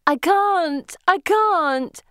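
A woman voicing a terrified teenage girl in an audiobook, wailing and pleading in shrill panic. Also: lively intonation, very slow, a bit bright, no room sound, studio quality, full character voice. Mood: hysterical terror.

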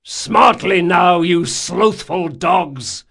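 A man voicing a gruff, cursing first mate in an audiobook, bellowing drawn-out orders and insults at deckhands. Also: sits high, fairly bright tone, pitched above the performer's own voice, exaggerated voice. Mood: bullying, furious. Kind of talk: audiobook character acting.

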